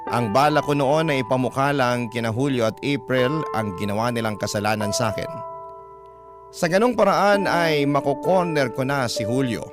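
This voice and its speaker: Filipino male radio narrator, scripted dramatic storytelling, mid-pitched, clear music bed. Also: animated, moderate pace. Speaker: radio story narrator reading a listener's letter.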